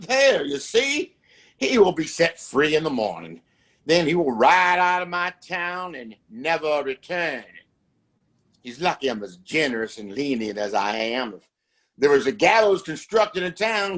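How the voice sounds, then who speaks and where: Man acting pompous, husky Western town boss. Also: fairly high pitch, lively intonation, moderate pace, fairly bright tone. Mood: smug, self-satisfied. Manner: imperious, self-righteous, formal wording. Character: pompous, domineering father who runs a Western town. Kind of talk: Western audio drama acting.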